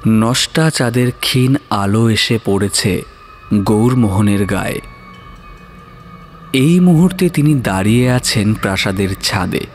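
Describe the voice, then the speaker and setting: Slow, suspenseful male horror story narrator. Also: medium pitch.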